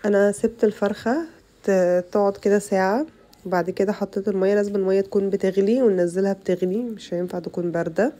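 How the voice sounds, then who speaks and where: Egyptian lady cooking channel host, rough cold voice, rattles along fast and mellow, chatty with her girls. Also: mid-range voice, fairly even intonation. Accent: Egyptian Arabic. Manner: chatty, addresses viewers as girls. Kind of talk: casual cooking tutorial voice-over.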